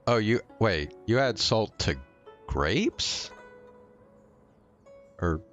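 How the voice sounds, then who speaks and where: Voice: mid-range voice, expressive, drawn-out words, fairly bright tone. A game streamer chatting casually over gameplay in a slangy, laid-back way, with game music behind. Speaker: live streamer.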